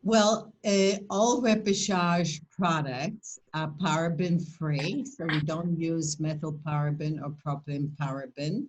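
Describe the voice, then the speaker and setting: A woman's voice: low-pitched, a little slow, few pauses, a little hiss or hum, low-quality recording. An older woman from Poland with a Polish accent, presenting and answering questions in a webinar over a video call, speaking in an emphatic, motivational way.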